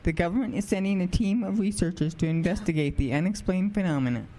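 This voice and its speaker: An actress playing a composed local radio newsreader, delivering a formal, measured scripted bulletin in an audio drama. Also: female voice, on the low side, over music, close to an everyday voice. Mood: matter-of-fact. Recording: clear background noise.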